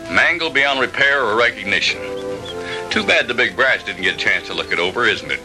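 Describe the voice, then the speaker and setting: A deep-voiced, world-weary police lieutenant in a 1960s B-movie. He is stern and plain-spoken, with prominent film music behind him on an old soundtrack. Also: lively intonation, brisk, poor audio. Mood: grave. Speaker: film actor.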